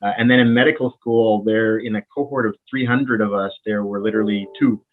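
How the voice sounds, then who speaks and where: A man with a Winnipeg accent and a fairly low voice talks as a doctor in a relaxed interview. He sounds friendly and animated, and he often repeats words as he gets going. The sound comes over a thin, tinny line, as if through a phone. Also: fairly quick. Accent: Canadian, Winnipeg. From Bahamas. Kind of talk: casual interview conversation.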